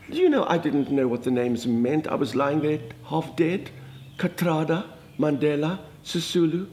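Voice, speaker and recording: Man playing a theatrical older Afrikaans society wife, gushing and dramatic, voice pitched a touch high. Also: lively intonation, unhurried, a little mellow, a little hiss or hum. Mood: gossipy. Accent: Afrikaans South African. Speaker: comedic monologist. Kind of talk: comic storytelling monologue.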